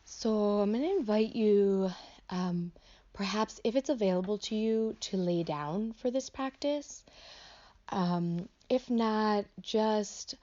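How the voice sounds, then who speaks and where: A woman teaching a guided meditation in an unscripted, gentle way, offering instructions as tentative invitations. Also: mid-range voice, takes it slowly, lo-fi sound. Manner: gentle, hesitant, invitational phrasing.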